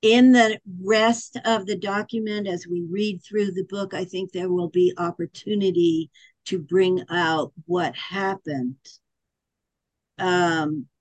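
A woman guides a group discussion in a slow, unhurried voice that sits a little low. She pauses often and fills gaps with hesitant fillers. The sound is dry and close. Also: fairly even intonation, no room sound, poor audio.

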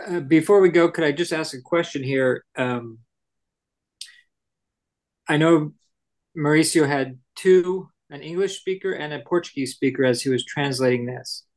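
A man in a discussion group asks careful, detailed questions. His voice sits a little higher than most men's. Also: moderate pace. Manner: inquisitive, asking careful questions. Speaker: group participant.

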